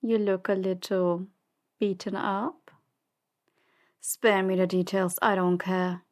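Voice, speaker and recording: A woman playing a cold, ruthless crime boss in an audio drama, commanding and dismissive in a measured way. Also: mid-range voice, little pitch movement, natural voice. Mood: cold, controlled. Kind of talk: audio drama roleplay acting.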